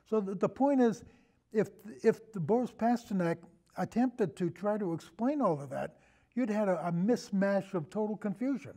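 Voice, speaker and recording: Older male guest, high voice, soft mellow tone, halting, rambling, casual discussion. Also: animated. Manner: rambling, halting, trails into et cetera. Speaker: podcast guest, longtime radio show host.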